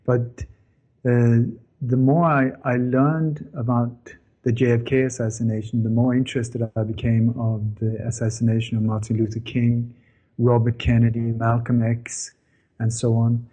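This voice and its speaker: A man's voice: mid-range voice, little pitch movement, unhurried, dark tone, rough recording. A middle-aged man, telling his story to an interviewer in an earnest way with many fillers and self-corrections. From born in Denmark, grew up in Sweden. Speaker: interview guest, former journalist.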